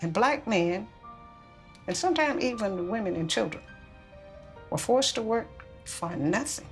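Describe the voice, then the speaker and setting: A woman's voice: medium pitch, expressive, a little slow. A woman playing a kindly, chatty older churchgoing lady in an amateur film. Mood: earnest, explaining. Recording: film clip with music underneath.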